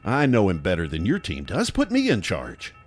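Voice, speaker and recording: An actor playing a driven, forceful government boss in an audio drama. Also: male voice, lowish voice, wildly swooping pitch, moderate pace, slightly rough, faint music behind, exaggerated voice. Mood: insistent, scheming. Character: intense, driven male government boss under suspicion.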